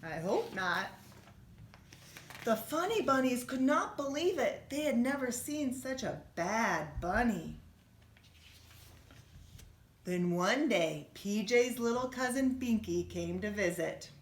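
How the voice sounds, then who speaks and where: A woman reading a children's storybook aloud like a teacher, expressively, with gentle questions to her young listeners. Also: a little high, big pitch swings, takes it slowly, pauses often. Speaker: schoolteacher reading a bedtime story. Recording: roomy, echoey room sound.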